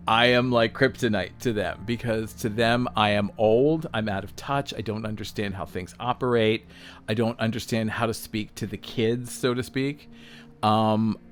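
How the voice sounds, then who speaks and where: A man in his sixties talking casually and bluntly, swearing freely, mocking himself and checking in with the listener. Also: fairly low pitch. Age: 60s. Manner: blunt, profane, self-mocking, lots of "um" and "all right?". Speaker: podcast host, self-employed designer. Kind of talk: unscripted solo talk.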